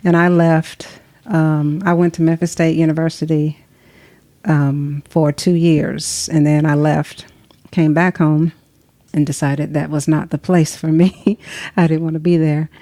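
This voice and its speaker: Middle-aged woman guest, low even voice, thoughtful and unhurried, dry close sound. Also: no echo. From Tennessee, US. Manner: reflective, soft-spoken with pauses. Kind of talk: conversational interview. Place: recording session in Atlanta.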